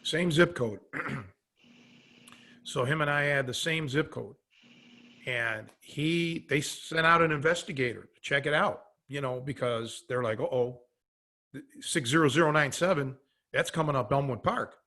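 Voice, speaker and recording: A man's voice: medium pitch, a little slow, pushed and bright. A middle-aged American man chatting casually on a podcast, rambling through anecdotes as he goes. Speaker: podcast host.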